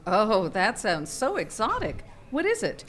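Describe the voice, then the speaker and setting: A woman's voice: mid-range voice, huge pitch swings, measured pace, fairly bright tone. A woman playing a flirty, naive married hotel guest, gushing and playful, in a live radio comedy. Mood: puzzled. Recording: live theater stage with background noise.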